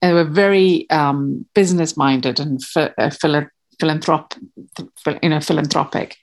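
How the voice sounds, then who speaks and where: A woman's voice: low-pitched, fairly even intonation, moderate pace. A woman in her fifties from Malawi, a podcast guest talking about her family, hesitant with many fillers and restarts. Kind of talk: casual interview chat.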